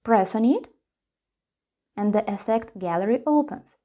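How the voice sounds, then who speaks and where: A woman's voice: a little high, expressive, a little slow, warm tone. A woman presenting a tutorial, reading scripted step-by-step instructions.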